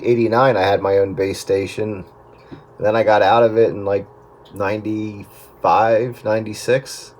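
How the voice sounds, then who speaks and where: Fairly low male voice, middle-aged, casual chat, slight background noise. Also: dark tone. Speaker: radio hobbyist on a group call.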